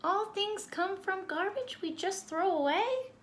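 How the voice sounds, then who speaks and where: Inquisitive storybook kid acted by a woman, very high and drawn-out, in an echoey room. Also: lively intonation, a bit bright, heavily acted. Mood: curious, surprised. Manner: inquisitive, exaggerated. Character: curious young schoolchild in a children's storybook. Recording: roomy echo with background noise.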